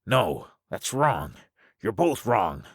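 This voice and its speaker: A man's voice: fairly low pitch, moderate pace, creaky, fairly bright tone, no room sound, clean studio sound, voice pushed up. A man voicing a stubborn, desperate spaceman afraid of being alone, agitated and pleading, in a science fiction audiobook. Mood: trembling.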